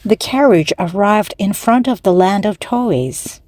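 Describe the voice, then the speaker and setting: Slow, careful woman storyteller. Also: medium pitch, expressive, darkish tone, dry recording. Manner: slow, careful, evenly paced reading. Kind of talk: slow read-aloud for language learners.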